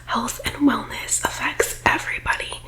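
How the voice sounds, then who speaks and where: A woman playing a pushy, evasive boss-babe recruiter in a soft-spoken whispered ASMR roleplay, sounding faux-professional and reassuring while tagging points with "okay?". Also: moderate pace, lightly acted, rough recording. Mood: persuasive, evasive.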